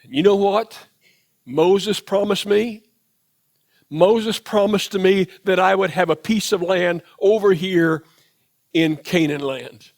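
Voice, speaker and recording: A man's voice: high-pitched, takes it slowly. A man with a Southern US accent preaching a sermon in church, reflective and retelling scripture in his own words. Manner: reflective, paraphrases scripture in first person. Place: church sanctuary.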